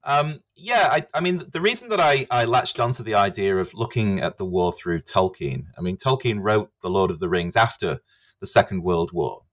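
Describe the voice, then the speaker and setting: A middle-aged man from England, a history professor speaking as a podcast guest with many pauses and restarts. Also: lowish voice, animated. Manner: hesitant, many uhs and restarts. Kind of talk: podcast interview.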